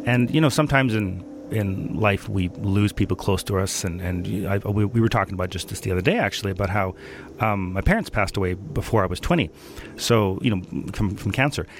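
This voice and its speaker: Interview guest: Danish-born man, low voice, unhurried and reflective, faint room noise. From Denmark. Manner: thoughtful, many pauses and fillers.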